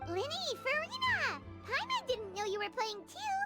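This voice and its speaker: A woman voicing a tiny, excitable fairy companion who talks about herself by name, in video game dialogue. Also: very high voice, moderate pace, full character voice. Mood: excited. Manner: refers to herself by name, eager and chatty. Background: game music.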